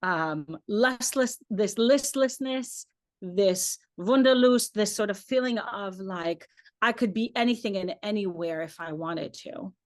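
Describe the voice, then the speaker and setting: A woman whose first language is Hebrew, an opera director talking through her ideas in a relaxed online lecture, thoughtful and chatty with many "like"s and "um"s. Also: mid-range voice, measured pace. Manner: thoughtful, chatty, uses "like" and "um" often.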